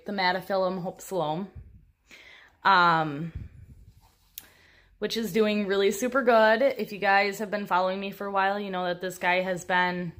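Unhurried Midwestern woman, casual vlogger. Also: medium pitch, fairly bright tone. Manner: chatty, informal, wry self-deprecating humor. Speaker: homestead and houseplant vlogger. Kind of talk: casual room tour narration. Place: small upstairs room in an old house, indoors.

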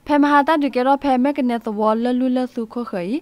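A woman's voice: high voice, steady tone, a little fast, a little mellow, dry recording. A woman presenting radio news, reading from a script.

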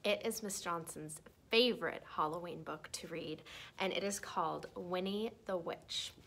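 A young woman reads a children's picture book aloud in an expressive, deliberate way for young listeners. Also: on the low side, slight background noise. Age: young adult. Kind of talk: storybook read-aloud. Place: small decorated room indoors.

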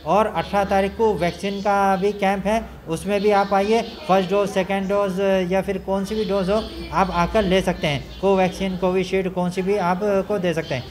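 A middle-aged man making an announcement to camera, halting and repeating words. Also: high voice, fairly even intonation. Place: indoor clinic in front of banner.

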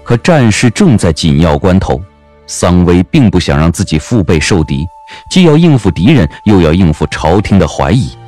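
A man narrating a scripted audio drama as an expressive storyteller. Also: low voice, moderate pace, faint music behind.

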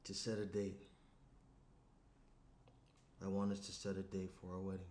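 A young man playing an awkward, earnest professional fiancé at a table read, with a halting delivery. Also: deep voice, completely flat delivery, darkish tone, lightly acted. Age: young adult. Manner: halting, hesitant, circling the point. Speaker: actor reading a lead part. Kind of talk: screenplay table read, naturalistic. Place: small indoor room around a table. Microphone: shared USB tabletop microphone. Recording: roomy echo, clear noise.

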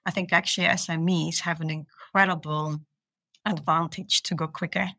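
A woman's voice: low-pitched, fairly even intonation, moderate pace, sharp tone, no room sound, clean studio sound. A woman from Belgium, a procurement manager, answering interview questions with enthusiasm and earnest small restarts.

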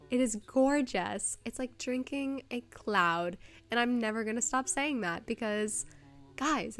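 A woman's voice: on the high side, a bit bright. A young woman talks in a bubbly, chatty way, her voice stuffy and nasal from a cold. She fills her sentences with "like" and sits at arm's length from a phone camera in her kitchen. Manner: chatty, bubbly, says "like" a lot. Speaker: vlogger. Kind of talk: casual voice-over narration. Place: home kitchen, indoors.

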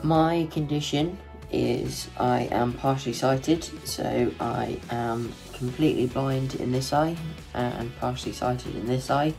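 A man's voice: medium pitch, measured pace, vocal fry, music in the background, low-quality recording. A man in his twenties from England, talking plainly and directly on a video call through earbuds, indoors. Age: 20s. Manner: plain, direct talk with fillers. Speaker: interviewee. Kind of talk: casual talk on a video call. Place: indoor room.

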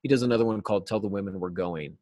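A man in his forties giving earnest, explanatory answers as an interview guest, stressing key words deliberately. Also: on the low side, a little mellow, no room sound, clean studio sound. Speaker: writing teacher and novelist, interview guest. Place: small cluttered office, video call.

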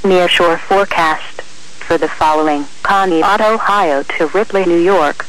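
Synthetic male radio weather reader, notably high voice, formal phrasing in short bursts. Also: measured pace, a bit bright, poor audio. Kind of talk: automated marine forecast and observations read-out.

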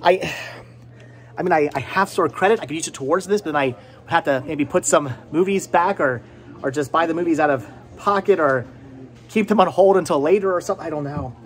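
A man's voice: on the high side, a little fast. A middle-aged man hosting a casual vlog in a shop, rambling and repeating words for emphasis, recorded on a camera held at arm's length. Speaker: host. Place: inside a small cluttered movie and game shop. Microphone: phone or camera at arm's length.

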